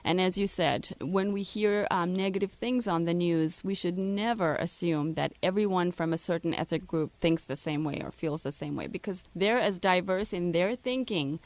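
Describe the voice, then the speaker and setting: A woman's voice: fairly low pitch, normal speed, hardly any pauses, a little mellow, no echo. A woman speaking as an interview guest, explaining things in an articulate and earnest way. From grew up in Russia, Egypt, Canada and Brazil. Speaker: interview guest from a multicultural center. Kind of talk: radio interview, explanatory conversation.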